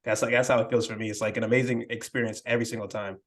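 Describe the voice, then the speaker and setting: Hesitant young man, mid-pitched. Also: flat delivery, very fast. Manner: hesitant, many fillers and restarts. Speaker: podcast guest, language learning creator. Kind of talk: casual interview conversation.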